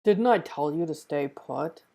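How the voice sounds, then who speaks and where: A woman's voice: deep voice, lively intonation, a little slow, creaky, mellow, heavily acted. A woman playing an urgent, bossy police agent at a live script table read. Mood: scolding. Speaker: actor reading a part at a table read.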